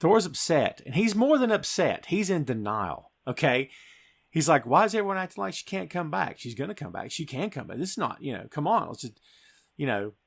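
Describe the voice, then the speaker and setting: A man's voice: on the high side, big pitch swings, fairly quick, creaky, breathy edge. Man hosting a casual film podcast. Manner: informal, emphatic storytelling, addressing co-host as dude. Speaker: podcast host. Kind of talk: casual film recap and discussion.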